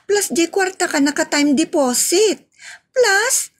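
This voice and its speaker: A woman's voice: very high voice, expressive, slow pace, heavily acted. A woman playing a wheedling, money-minded character in a comic audio drama, pleading as she speaks.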